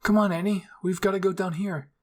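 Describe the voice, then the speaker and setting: A man's voice: sits high, fairly even intonation, a little fast, voice pushed up, close to an everyday voice. A man voicing a hot-headed, emotional teenage soldier boy in a fan fiction roleplay, indignant and impatient. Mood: annoyed.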